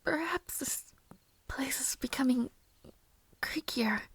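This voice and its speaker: A woman voicing a gentle, anxious, lovelorn young maid in an intimate fantasy audio roleplay, speaking softly and breathily with hesitant, old-fashioned formal phrasing. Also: high-pitched, expressive, slow pace, full character voice. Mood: uneasy, nervous. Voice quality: soft, breathy, often near whisper.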